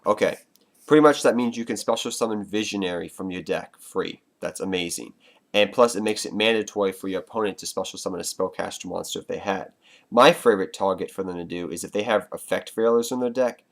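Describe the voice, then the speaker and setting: Male vlogger, very low pitch, stuffy nose from a cold, chatty and loose delivery to viewers. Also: a little fast, creaky. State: congested with a cold. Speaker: card game vlogger. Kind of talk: casual deck explanation to viewers.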